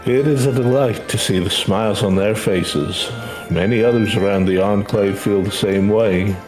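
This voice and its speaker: A gentle and proud male teacher from a fantasy game, read out by a man. The voice is fairly low and speaks formally and earnestly, with music behind it. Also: moderate pace, lightly acted, low-quality recording. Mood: warm, reflective. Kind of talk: video game dialogue read aloud.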